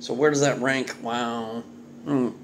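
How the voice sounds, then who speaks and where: A man's voice: mid-range voice, slow pace, a little hiss or hum. A man in his thirties hosting a casual, off-the-cuff video review in a jokey, exclamatory way, recorded in a home kitchen at arm's length. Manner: animated, exclamatory, jokey delivery with muttered asides. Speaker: beer reviewer and vlog host. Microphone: phone or webcam at arm's length.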